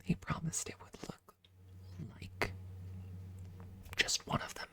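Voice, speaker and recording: A woman ASMR streamer speaking in a breathy up-close whisper, softly and deliberately telling casual stories to viewers right at the microphone. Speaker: ASMR livestreamer.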